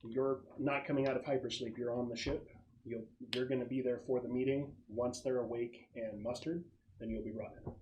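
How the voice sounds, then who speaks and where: A man's voice: mid-range voice, fairly even intonation, a little fast, mellow, highs cut off. Man running a game, noisy recording. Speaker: game master. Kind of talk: casual game briefing and explanation. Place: casual online or home gaming session.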